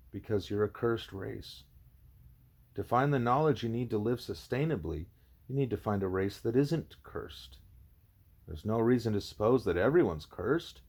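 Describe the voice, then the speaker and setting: A man voicing a wise, patient male gorilla teacher in an audiobook, speaking in a formal, measured, probing way. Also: on the low side, a little mellow, slightly rough. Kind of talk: audiobook character dialogue.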